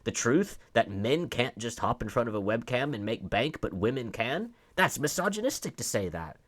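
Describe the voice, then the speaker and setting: A man's voice: medium pitch, very animated, moderate pace, a bit bright. A man giving opinionated online commentary, reading out and reacting to posts in an argumentative, emphatic way, with rhetorical questions and repeated phrases.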